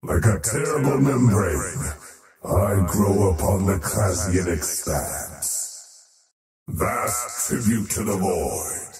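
Echoing, menacing void creature. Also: male voice, sits low, animated, creaky, heavily acted. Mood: ominous, gloating. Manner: slow, portentous, theatrical, gloating. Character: faceless void creature, power-hungry oathbreaker acolyte, menacing. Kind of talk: scripted video game character voice lines. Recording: heavily echoing, distant, loud music behind.

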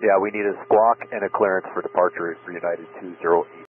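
Airline pilot on scratchy radio, low voice, clipped calls, faint hiss. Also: male voice, fairly even intonation, fast talker. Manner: clipped, repeats call sign. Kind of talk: radio phraseology. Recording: tinny radio transmission with faint noise.

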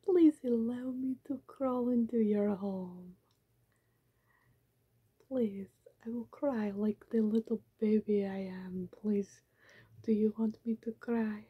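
A woman whispering as a vampire pretending to be a helpless baby, begging slowly to be let in. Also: a little high, fairly even intonation, narrow, tinny audio, full character voice. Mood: whiny begging. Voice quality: breathy whisper. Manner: slow, whiny, begging. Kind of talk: whispered ASMR roleplay.

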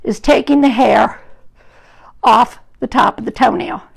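A hoarse woman groomer with a gravelly voice, chatting to live-stream viewers while trimming a dog in a grooming salon, recorded on a phone. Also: fairly high pitch, measured pace. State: hoarse from an illness. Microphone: phone camera propped at the grooming table.

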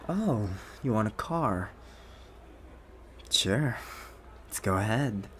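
Rich possessive boyfriend for ASMR roleplay, male performer: smooth, coaxing, lowish pitch, noticeable background noise. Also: animated. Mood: doting, coaxing. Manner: soft-spoken, unhurried, coaxing with pet names. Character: rich possessive young boyfriend, smooth, doting, quietly menacing.

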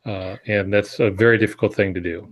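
A man in his sixties giving casual, explanatory advice on a podcast video call, pausing between phrases. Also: on the low side, steady tone. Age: 60s. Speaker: podcast co-host and published author. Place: home office, indoors. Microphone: earbud headset on video call.

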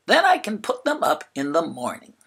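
A man voicing a fussy, earnest little sign painter in a children's storybook, with drawn-out exclamations. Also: a little high, huge pitch swings, creaky, pushed and bright, slightly rough, pitched above the performer's own voice, exaggerated voice. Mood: eager.

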